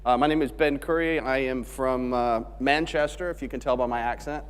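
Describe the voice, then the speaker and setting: A man's voice: mid-range voice, fairly quick, clean tone. Joking man in a conference hall. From Alabama, USA. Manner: joking, conversational presenter. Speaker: conference speaker. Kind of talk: technical conference presentation. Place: large conference hall stage. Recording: long hall reverb on stage mic.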